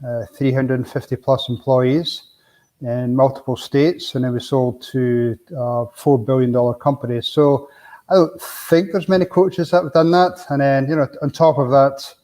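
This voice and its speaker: A middle-aged Scottish man speaking in a plainspoken, casual way as a podcast guest. His voice sits at an ordinary middle pitch, and he tells his stories loosely, with plenty of "you know" along the way. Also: fairly even intonation, normal speed, mellow. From Scotland. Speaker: business coach guest.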